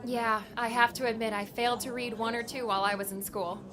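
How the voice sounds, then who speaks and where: A woman's voice: on the high side, flat delivery, brisk, crowd noise, close to an everyday voice. A woman playing a polite, self-effacing young would-be journalist, speaking candidly in an audiobook drama. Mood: polite, friendly.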